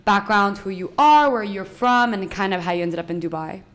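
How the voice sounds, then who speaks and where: A woman host opens her podcast in an earnest tone, then asks her guests questions at a measured pace, with a few "um"s along the way. Her voice sits at an ordinary middle pitch. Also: expressive. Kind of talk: scripted podcast intro, then interview.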